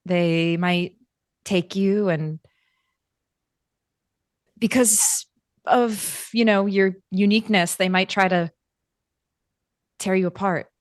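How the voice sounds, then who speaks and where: A woman plays a protective friend in a roleplay game, with a medium-pitched voice and an unhurried, halting delivery. She words things gently and carefully, in a dry, close recording. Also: no echo, close to an everyday voice. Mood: concerned, hesitant. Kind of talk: in-character tabletop roleplay.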